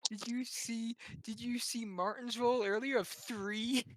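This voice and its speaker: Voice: medium pitch, steady tone, a little slow, slight background noise. A tabletop game player with a voice of unclear sex, chatting casually in a light, joking manner.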